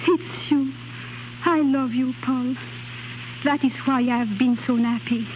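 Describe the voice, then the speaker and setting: An actress in a 1930s radio drama playing a distraught young foreign nightclub singer who passes as a noble ward, impassioned and theatrical. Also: female voice, sits high, steady tone, a little slow. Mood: pleading, lovelorn. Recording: muffled, crackly 1930s radio broadcast.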